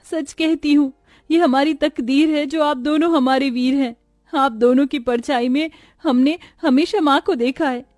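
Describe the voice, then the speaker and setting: A woman's voice: high voice, steady tone, measured pace, a little mellow, no room sound, clean studio sound, pitched above the performer's own voice. A woman voicing a devoted young sister from a poor family in a cartoon story, earnest and emotional. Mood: tearful devotion.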